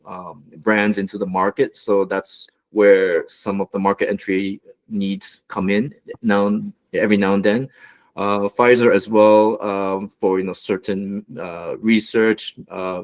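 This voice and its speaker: A man from Los Angeles giving an online business presentation as a guest mentor, with a relaxed delivery and many fillers. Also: lowish voice. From United States, Los Angeles.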